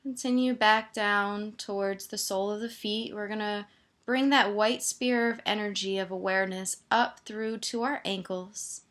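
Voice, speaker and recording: A woman meditation teacher gently guiding a body scan, soothing and leaving pauses between her instructions. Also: mid-range voice.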